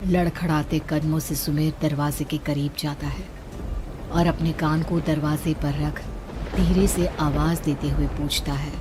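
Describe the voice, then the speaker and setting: Low-voiced woman narrating a spooky story. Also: fairly even intonation, unhurried, noisy background. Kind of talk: dramatic scripted horror story narration. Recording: background music under narration.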